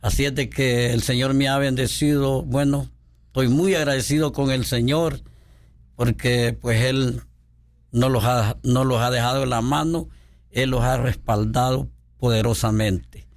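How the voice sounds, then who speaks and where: A man from Honduras, a pastor speaking as a radio guest in a studio, devout and halting with many fillers. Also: mid-range voice, fairly even intonation.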